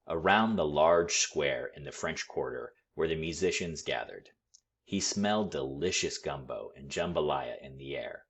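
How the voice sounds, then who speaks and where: A man reading a children's story aloud with expressive, deliberate, dramatic phrasing. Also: a bass voice. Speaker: storybook read-aloud reader.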